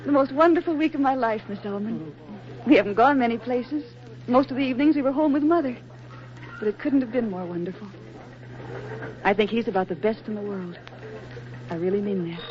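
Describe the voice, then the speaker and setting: A woman's voice: on the high side, a little fast, long pauses, lightly acted. Woman acting a lovestruck young sweetheart, muffled recording. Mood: dreamy, polite. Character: devoted, wistful young working woman in love. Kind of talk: 1940s radio acting. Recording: muffled vintage 1940s radio recording.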